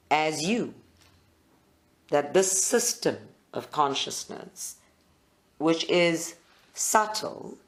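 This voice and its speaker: A meditation guide leads a live group session in short, deliberate phrases with pauses between them. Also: medium pitch.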